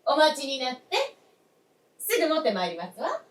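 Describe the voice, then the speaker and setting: An actress playing a polite, solicitous young wife in a staged radio drama, speaking formally and coaxingly. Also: female voice, high-pitched, sing-song, brisk. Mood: solicitous, projecting. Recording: echoey stage, distant microphone.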